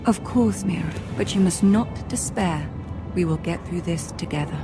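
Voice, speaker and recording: An actress playing a poised, kindly young noblewoman in a fantasy video game, with an English accent and a courtly, measured manner. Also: lowish voice, close to an everyday voice. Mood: gentle sympathy. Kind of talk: dramatic video game acting. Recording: music behind the voice.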